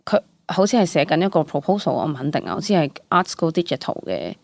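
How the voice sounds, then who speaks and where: A young woman with a Hong Kong Cantonese accent chatting casually as an interview guest, animated and dropping English words into her speech. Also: deep voice, quick pace, gravelly, no room sound. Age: young adult. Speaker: guest, stage actress.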